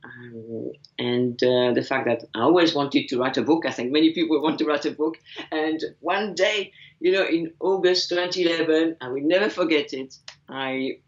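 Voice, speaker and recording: A woman from France with a French accent, a guest speaking in English on a video call, pausing often with fillers. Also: low-pitched. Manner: hesitant, many fillers. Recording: dull lo-fi call audio.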